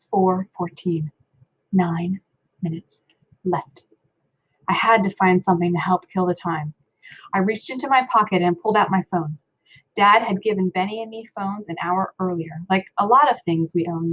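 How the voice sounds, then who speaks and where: A woman reads a storybook aloud in first person. Her voice sits at an average pitch. The recording is a bit dull and muffled. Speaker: story reader. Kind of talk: read-aloud storybook narration.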